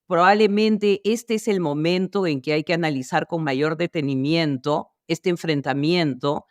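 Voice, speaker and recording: A woman's voice: low voice, dry recording, clean studio sound. A woman introducing a history podcast, explaining things in a somewhat hesitant way.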